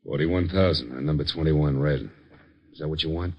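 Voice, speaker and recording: An actor playing a hard, cool gambling house croupier in an old time radio drama, terse and tough. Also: male voice, very deep voice, moderate pace, breathy edge, dull audio, full character voice. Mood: cold, challenging. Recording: old radio recording, crowd noise behind.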